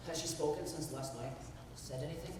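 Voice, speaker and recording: A man playing a stern, cold gentleman with a curt, commanding manner. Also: a little high, fairly quick, lightly acted. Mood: cool. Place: stage in a large hall. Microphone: distant camera mic. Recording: echoey hall, distant mic, background noise.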